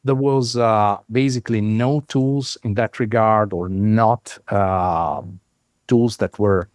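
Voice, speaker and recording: A middle-aged man with an Italian accent speaks English as a second language in a lowish voice. He explains things patiently, pausing often on "uh" as he gathers his thoughts. His voice sounds dry and close, with little room around it. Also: fairly even intonation, no room sound. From Italy. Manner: measured, with frequent "uh" fillers. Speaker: guest, software founder. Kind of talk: conversational explanation.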